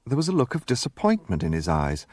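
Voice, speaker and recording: An actor playing a gentlemanly, earnest narrator, the loyal companion of a detective, in a radio drama. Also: male voice, medium pitch, huge pitch swings, fast, dry recording. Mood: earnest, narrating.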